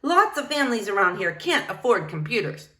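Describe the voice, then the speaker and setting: A woman's voice: medium pitch, very animated, fairly quick, sharp tone, reverberant. A woman voicing an exuberant, determined woman librarian in a storybook, with emphatic, enthusiastic delivery. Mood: determined, eager. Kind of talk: storybook character dialogue.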